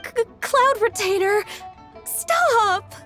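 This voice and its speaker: Polite young game secretary, high pitch, gentle, dutiful, earnest, faint music behind. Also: female voice, lively intonation, very slow, heavily acted. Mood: embarrassed. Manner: courteous, deferential, earnest. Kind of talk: video game voice acting.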